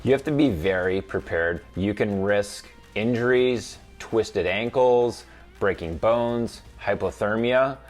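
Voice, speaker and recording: A man, an ultramarathon runner, speaking in a reflective, measured way in a documentary interview. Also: fairly low pitch. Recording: music bed under the voice.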